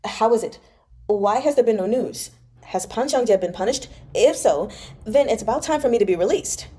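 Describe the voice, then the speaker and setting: A woman's voice: a little high, animated, moderate pace, dark tone, slightly rough. A woman voicing an anxious, impatient prisoner with urgent questions in a novel read-aloud. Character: anxious, impatient male prisoner demanding news.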